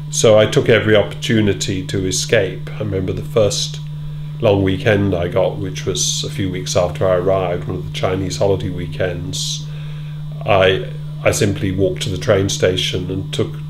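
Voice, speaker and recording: A middle-aged man being interviewed in a wood-panelled room, answering in a measured, thoughtful way with many ums and repeated words. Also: sits low, level tone. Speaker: interviewee, former British Council officer. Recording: loud hum behind.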